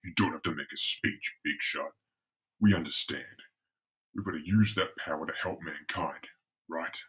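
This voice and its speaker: A man voicing a gruff, bitter, combative brawler turned hulking monster in a dramatic comic-book reading. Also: normal speed, fairly bright tone. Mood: gruff, resolved. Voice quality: gruff, low voice. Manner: combative, sneering tough-guy talk.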